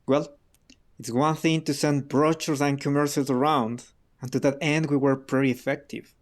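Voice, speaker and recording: An actor playing a guarded, defiant radical under questioning in a radio drama, terse and defensive. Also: male voice, medium pitch, fairly even intonation, normal speed, lightly acted. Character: guarded, defiant male radical being interrogated.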